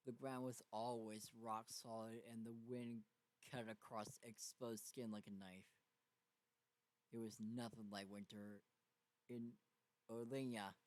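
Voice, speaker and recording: A man narrating a story dramatically, with a halting delivery that stumbles and repeats. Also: lowish voice, a little mellow, dry recording, rough recording. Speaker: fan fiction reader.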